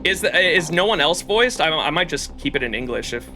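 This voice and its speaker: A man's voice: on the high side, big pitch swings, fairly quick, sharp tone, faint music behind. A man talking casually as a live streamer, commenting on a game as he plays.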